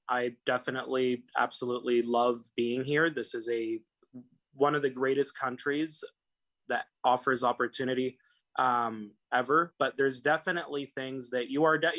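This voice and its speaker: A man's voice: on the high side, sharp tone. A young man from Los Angeles, a personal injury attorney, talking casually as a guest with lots of fillers and restarts. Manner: rambling, many fillers and restarts. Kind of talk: casual call-in talk.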